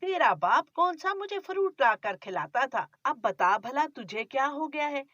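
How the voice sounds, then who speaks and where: A penny-pinching older mother-in-law nags and scolds in a loud, high voice, as a woman puts her on. The sound is dry and slightly dull. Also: very animated, a little slow, no echo, exaggerated voice. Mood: scolding.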